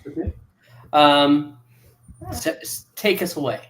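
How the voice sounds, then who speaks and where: A man hosting and moderating a casual chat show in a halting, informal manner. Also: fairly high pitch, a bit bright, a little hiss or hum, poor audio.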